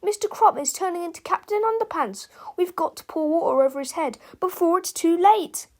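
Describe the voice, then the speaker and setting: Woman as fretful schoolboy, high pitch, anxious, dismayed, storybook dialogue. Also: normal speed, lightly acted. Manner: crying out, dismayed.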